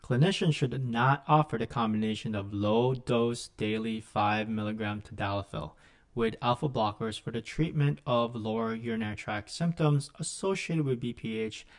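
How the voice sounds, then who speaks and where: Male, middle-aged, mid-pitched voice for scripted clinical teaching; measured, explanatory delivery. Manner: measured, instructional. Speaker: physician lecturer. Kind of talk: scripted medical lecture narration.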